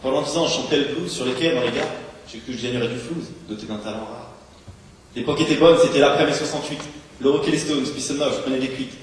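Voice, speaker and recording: A man performs slam poetry in a big reverberant hall, heard from some distance. He delivers rhymes very quickly and rhythmically, declaiming as an embittered, washed-up homeless drinker. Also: mid-range voice. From France. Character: embittered, washed-up homeless street drinker remembering his youth. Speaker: slam poet. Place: large theatre hall, live on stage. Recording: echoey hall, distant mic, faint noise.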